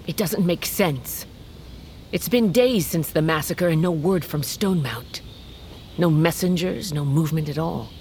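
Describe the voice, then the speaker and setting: An actress playing a stern, burdened queen of a wolf tribe in an audio drama, speaking in a grave, measured, formal way. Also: low voice, a little mellow, slight background noise. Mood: worried, brooding. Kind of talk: audio fiction drama acting.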